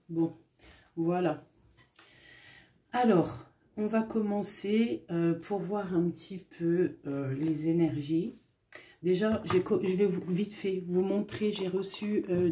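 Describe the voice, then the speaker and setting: A woman's voice: lowish voice, warm tone. A woman giving a casual tarot reading to camera, chatty and full of fillers, with affectionate asides to her viewers. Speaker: tarot reader. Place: indoors at a table with tarot cards.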